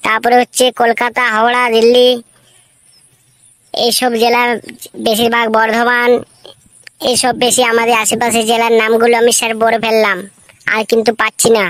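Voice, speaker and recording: Casting: woman as earnest cartoon school pupil, high flat voice, halting and repetitive recitation. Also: dry recording, lightly acted. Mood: dutiful. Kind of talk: comic cartoon classroom recitation.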